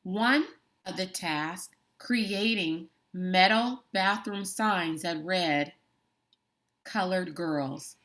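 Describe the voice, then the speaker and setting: A woman's voice: on the low side, a bit bright. Woman teacher reading a book aloud. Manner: slow, deliberate reading with emphatic words and brief asides. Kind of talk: classroom read-aloud of a book.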